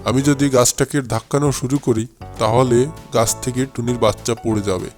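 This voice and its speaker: A man's voice: mid-range voice, steady tone, normal speed, a little mellow, faint music behind, lightly acted. A man voicing a wicked, greedy fox in a cartoon fable, gloating and bullying as he talks. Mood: scheming.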